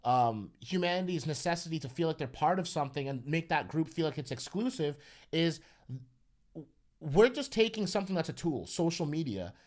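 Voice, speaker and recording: A man's voice: on the high side, expressive, normal speed, darkish tone. A man co-hosting a casual podcast, speaking earnestly and building long, articulate points. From Caribbean family background. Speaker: podcast co-host.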